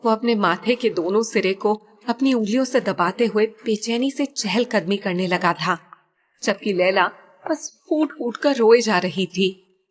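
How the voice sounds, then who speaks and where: A woman narrating a story audiobook in a steady, even storytelling voice. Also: mid-range voice, a little slow.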